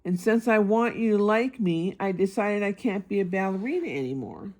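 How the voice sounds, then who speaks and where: A woman's voice: medium pitch, lively intonation, moderate pace, darkish tone, natural voice. A woman voicing a sensitive young girl monster who loves ballet, earnest in manner, in a children's picture book. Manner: instructing, earnest.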